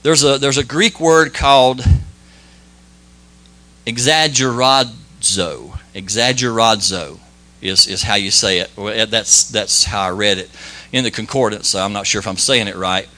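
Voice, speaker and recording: A man giving an informal church sermon and prayer, folksy and modest, talking in halting phrases with many restarts and fillers. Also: on the low side, sharp tone. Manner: modest, plain-spoken. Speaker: lay preacher.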